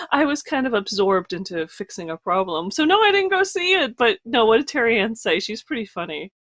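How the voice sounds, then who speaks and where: A woman co-hosting a podcast, chatting in a bubbly way, laughing often and reacting quickly. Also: on the high side, big pitch swings, fairly bright tone, no echo, studio quality. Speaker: podcast co-host. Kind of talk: casual chat.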